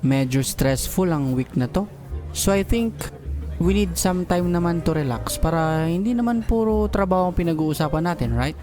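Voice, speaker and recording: A man's voice: fairly high pitch, lively intonation, moderate pace, mellow, faint music behind, natural voice. A man playing an office boss with a Filipino accent in a romantic audio drama, businesslike but awkwardly flirtatious. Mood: casual, probing. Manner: businesslike, with smitten slips he covers up. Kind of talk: romantic audio drama acting, Tagalog-English mix.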